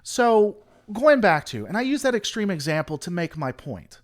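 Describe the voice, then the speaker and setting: Animated opinionated male livestream host. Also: high-pitched, moderate pace. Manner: rambling, reads and answers chat; opinionated critique. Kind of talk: casual livestream commentary.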